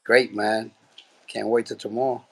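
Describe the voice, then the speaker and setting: A man from Puerto Rico, a boxing trainer, telling his story in a relaxed, rambling way with lots of fillers. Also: on the low side, measured pace, gravelly, slightly rough. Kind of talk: casual interview.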